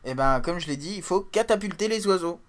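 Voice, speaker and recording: A man's voice: high-pitched, lively intonation, fairly quick, over a noisy crowd. A man co-hosting a casual comedy podcast, hesitant at first, then playful as he explains things simply. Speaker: podcast co-host.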